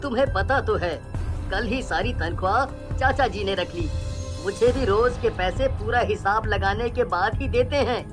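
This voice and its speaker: A woman's voice: medium pitch, moderate pace, lightly acted. A woman voicing a meek, humble young father, poor and helpless, in a plaintive dramatized story style. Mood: resigned. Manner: plaintive, pleading. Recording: music bed behind the voice.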